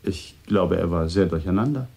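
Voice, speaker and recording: An actor playing a composed, politely probing man in a radio drama, speaking in a measured way with a mellow, slightly husky voice. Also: deep voice, exaggerated voice.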